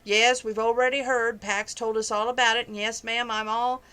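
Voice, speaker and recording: A woman reading as a brisk old ranch grandmother. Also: fairly high pitch, flat delivery, fairly bright tone, clean tone, close to an everyday voice.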